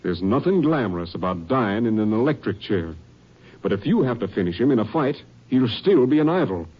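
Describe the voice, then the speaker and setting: An actor playing a stern, earnest American Texas Rangers captain in an old radio drama. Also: male voice, on the low side, very animated, fairly quick, breathy edge. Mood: grave, earnest. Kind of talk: 1950s radio drama acting. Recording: muffled old radio recording.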